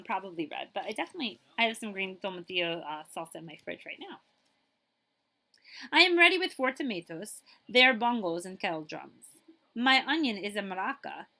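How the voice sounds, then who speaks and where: A woman's voice: mid-range voice, sing-song, moderate pace, vocal fry, bright, forceful tone. A woman school librarian reading a children's picture book aloud expressively, with chatty asides to her listeners.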